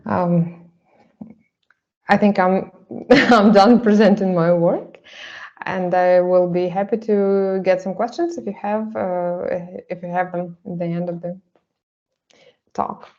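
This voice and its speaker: Woman, artist giving a panel talk, hesitant, lowish voice, muffled audio. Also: steady tone, darkish tone. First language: Russian. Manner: halting, hesitant, frequent pauses. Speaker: artist presenting her work. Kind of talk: panel presentation.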